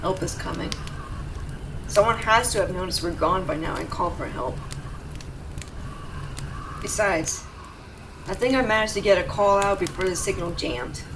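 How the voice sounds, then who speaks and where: Heard a little away from the mic over clear background noise, a woman acts out a young tsundere rescuer in an intimate audio drama. She is gruff yet devoted, with an emotional delivery that moves between soft murmurs and exasperation at a medium pitch. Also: normal speed, long pauses, far from the mic, natural voice. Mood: worried, firm. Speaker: voice actor in audio roleplay.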